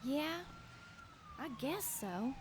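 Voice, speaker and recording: An actress playing a skeptical, unsettled woman companion to an investigator, speaking deliberately in an audio drama. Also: sits high, sing-song, noisy background, exaggerated voice. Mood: reluctant.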